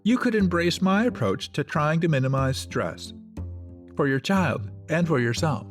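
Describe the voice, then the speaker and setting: Man playing a mellow, expressive, patient guy. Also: on the high side, a little slow. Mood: calm, earnest. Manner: earnest, reassuring. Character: mellow, patient man calmly minimizing stress. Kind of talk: radio play acting. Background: background music with guitar.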